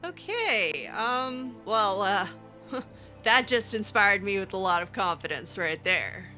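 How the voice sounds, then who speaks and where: Female gamer, unhurried and bright, casual playful roleplay commentary with a higher-set voice. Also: expressive, faint music behind. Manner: playful, reacting in character. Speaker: gamer doing a roleplay playthrough. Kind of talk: casual in-character game commentary.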